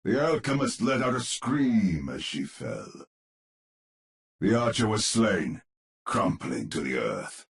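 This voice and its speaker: An actor playing a grave storyteller, narrating a gothic horror tale in a theatrical, measured, ominous manner for a game. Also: male voice, fairly low pitch, very animated, full character voice. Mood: ominous, dramatic. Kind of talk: dramatic scripted storybook narration.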